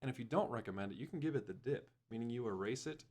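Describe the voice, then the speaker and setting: A man's voice: mid-range voice, expressive, fast talker, a little mellow. A man hosting a podcast with casual banter. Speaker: podcast host.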